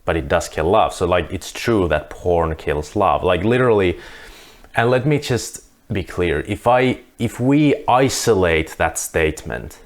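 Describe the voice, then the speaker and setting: A man's voice: low-pitched, lively intonation, unhurried. A Finnish man speaking English as a second language, earnestly talking through his views to camera with frequent restarts. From Finland. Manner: earnest, thinking aloud with restarts. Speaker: vlogger. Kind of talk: casual monologue to camera.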